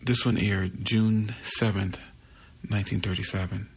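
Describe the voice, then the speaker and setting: A man's voice: fairly low pitch, fairly even intonation, unhurried, a crowd in the background. A man giving a scripted introduction as the host of a podcast. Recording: music bed behind voice. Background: music.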